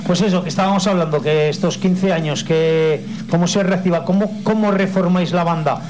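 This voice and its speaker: A man with a high voice hosts a loose, colloquial radio interview, ribbing his guests as he asks his questions. Loud music plays steadily under the talk. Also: brisk, low-quality recording. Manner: jokey, teasing, colloquial. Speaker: radio host. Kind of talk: casual interview chat. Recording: music playing loudly behind.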